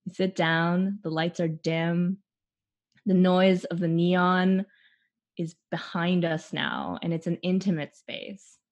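A Canadian-born woman, a theater artist, speaking slowly and thoughtfully in an interview, often pausing and laughing lightly. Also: fairly low pitch, darkish tone, dull audio. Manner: slow, thoughtful, with frequent laughs and pauses. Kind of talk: conversational interview, opening with a guided imagined scene.

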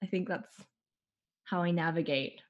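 A Canadian-born woman, a theater artist, speaking slowly and thoughtfully in an interview, often pausing and laughing lightly. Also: on the low side, dull audio. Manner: slow, thoughtful, with frequent laughs and pauses. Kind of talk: conversational interview, opening with a guided imagined scene.

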